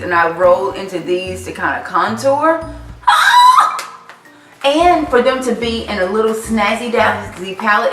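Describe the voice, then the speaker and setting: A woman from the Carolinas talking through her favorite makeup products in a chatty, animated vlog. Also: on the high side, far from the mic. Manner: chatty, animated, sassy asides. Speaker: makeup vlogger. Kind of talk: casual product review vlog. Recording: echoey room, voice off-mic, faint music.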